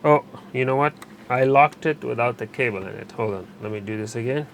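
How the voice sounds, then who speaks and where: A man narrating a hands-on repair tutorial while he works, pausing and correcting himself as he goes. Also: medium pitch, creaky, slight background noise. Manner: unscripted, pauses and self-corrects while working. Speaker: electronics repair instructor.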